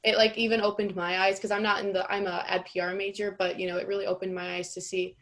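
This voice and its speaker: Flat-toned young woman interviewer. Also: mid-range voice, fast talker, low-quality recording. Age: young adult. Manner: relaxed, filler-heavy, uses like and you know. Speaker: student interviewer. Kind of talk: casual panel conversation.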